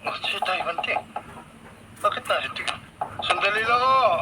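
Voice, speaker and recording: A man's voice: high voice, animated, normal speed. A man playing a lazy, evasive, sleepy son-in-law with a Filipino accent in a radio drama. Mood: groggy, flustered. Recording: very noisy radio drama broadcast.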